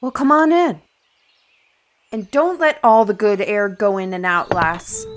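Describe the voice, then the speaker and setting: An actress playing a weary, irritable fantasy artisan in an audio roleplay, speaking in an exasperated, deliberate way with sighs. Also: female voice, mid-range voice, big pitch swings, slight background noise. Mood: exasperated, wary. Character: weary, irritable female fantasy artisan under council watch.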